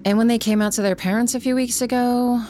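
An actress playing a composed, discreet professional woman in measured, naturalistic audio drama dialogue. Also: fairly high pitch, steady tone, dark tone, slight background noise, close to an everyday voice. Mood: calm, guarded.

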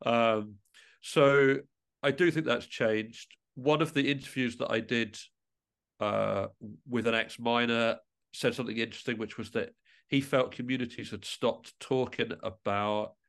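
A man's voice: mid-range voice, steady tone, fairly bright tone. Guy being interviewed about his music work, thoughtful, kinda tentative, stops and rewords stuff as he goes. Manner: reflective, tentative, often pausing mid-thought to rephrase. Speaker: interview guest, musician and composer.

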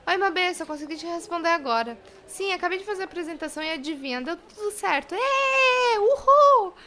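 A woman's voice: very high voice, lively intonation, brisk, music in the background. A Brazilian woman playing a bubbly, self-questioning young professional in an audio drama. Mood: excited. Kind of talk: audio drama dialogue and monologue.

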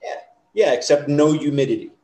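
A man's voice: a little high, fairly quick, mellow. Easygoing middle-aged man, roomy sound. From United States. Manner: easygoing, chatty storytelling. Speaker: interview guest. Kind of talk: casual chat.

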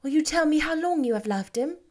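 A woman voicing a gentle, sweet-natured young woman who speaks softly and earnestly. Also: sits high, animated, a little fast, voice pushed up.

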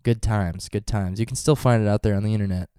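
A man's voice: lowish voice, fairly even intonation, fast, warm tone, smooth, no room sound, studio quality. Stuffy-nosed man, rambling vlog chat. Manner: rambling, goofy tangents and jokes. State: stuffy from a cold. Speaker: vlogger and touring band musician.

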